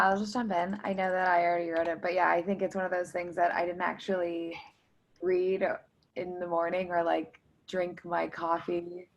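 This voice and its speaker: A woman's voice: lowish voice, lo-fi sound. A woman sharing a reflection on a video call, speaking hesitantly with casual fillers. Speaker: workshop participant.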